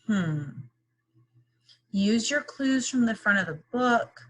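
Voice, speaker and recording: A woman's voice: fairly high pitch, lively intonation, slow, a bit bright. A woman teacher leading a read-aloud lesson for young children, with instructive, question-filled, encouraging phrasing.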